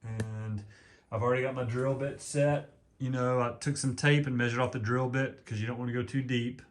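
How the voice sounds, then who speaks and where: Man from East Texas, deep voice, rambling folksy delivery full of ums, mid pitch, home camera-mic sound. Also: steady tone, normal speed. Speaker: vlogger. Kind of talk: casual home how-to video.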